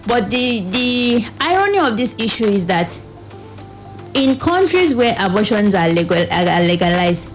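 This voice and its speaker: A woman's voice: medium pitch, rough recording. Nigerian woman explaining, faint music behind. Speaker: reproductive health advocate, studio guest. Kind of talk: radio interview, explaining.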